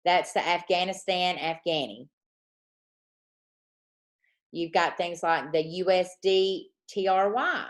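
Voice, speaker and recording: Slow-talking woman coaching. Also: on the low side. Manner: slow, repetitive dictation, checking in with "okay?". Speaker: forex trading coach. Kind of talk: live teaching lesson.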